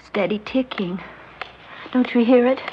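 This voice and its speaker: A woman's voice: a little high, unhurried, close to an everyday voice. Woman acting genteel middle-aged mother, hesitant, anxious, radio drama, muffled noisy vintage recording. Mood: hushed, fearful. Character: anxious, vain housewife and mother around forty.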